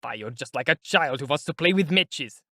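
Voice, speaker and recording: A man's voice: on the high side, lively intonation, normal speed, sharp tone, no echo, studio quality. A man voicing a sly, sardonic middle-aged male investigator who talks in a chatty, teasing, politely mocking way. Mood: jovial. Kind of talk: audiobook character voice.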